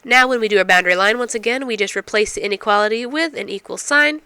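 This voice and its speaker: A woman's voice: fairly high pitch, fast, pushed and bright, clear, resonant tone, dry recording. A woman instructor explaining math step by step, asking and answering her own questions, while getting over a cold. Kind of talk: recorded math lecture.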